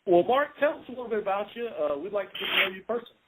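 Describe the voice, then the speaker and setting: A man's voice: high-pitched, animated, very fast, noisy background. A man in his forties hosting a podcast interview, speaking casually with frequent hesitations. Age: 40s. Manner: hesitant, many fillers and restarts. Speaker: podcast host.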